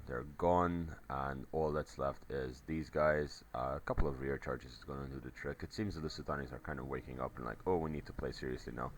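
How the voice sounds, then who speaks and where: A man with an extremely low, rumbling voice talks through a battle replay in a loose, rambling way, full of ums and uhs. His voice is a bit crackly today, and a faint hum sits under the recording. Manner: relaxed, rambling play-by-play with many fillers. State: voice a little crackly. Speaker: game commentator. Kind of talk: casual battle replay commentary.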